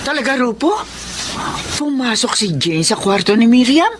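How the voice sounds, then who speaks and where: An actress playing a gossipy, nosy aunt who clucks with pity in a Tagalog radio drama. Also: female voice, a little high, animated, moderate pace, loud music behind the voice, close to an everyday voice. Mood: nosy, alarmed.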